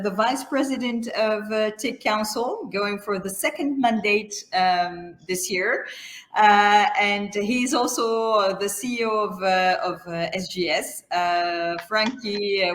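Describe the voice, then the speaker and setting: The voice is a woman's, at a middling pitch, in a roomy, echoing recording. She speaks as the host of a semi-formal interview. Her delivery is halting, with many hesitant fillers between phrases. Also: a bit bright.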